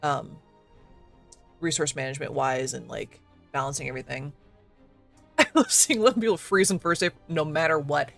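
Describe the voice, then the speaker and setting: A man chats casually on a live game stream, his voice slightly raspy from coughing and gravelly at the edges. He talks in a fairly high register, full of fillers and little self-deprecating laughs. Faint music plays underneath. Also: normal speed, pauses often, a bit bright. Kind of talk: casual live-stream chat while gaming.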